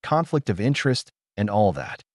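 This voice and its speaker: A man's voice: lowish voice, big pitch swings, moderate pace, darkish tone, no echo, clean studio sound. A man reading the lines of a calculating corporate executive who sounds falsely jovial and politely threatening.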